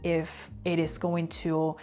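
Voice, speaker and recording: A woman whose first language is Chinese, giving an earnest, reflective talk on consistency and slowing down to stress key words. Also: low-pitched, monotone, mellow, faint music behind. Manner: conversational asides and fillers. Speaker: language and culture teacher.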